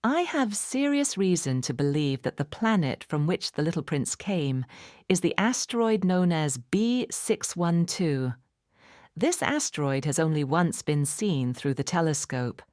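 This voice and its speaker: A woman narrating a children's storybook in a measured, clearly phrased, expressive bedtime-story manner. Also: low-pitched, no echo, clean studio sound. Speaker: audiobook narrator.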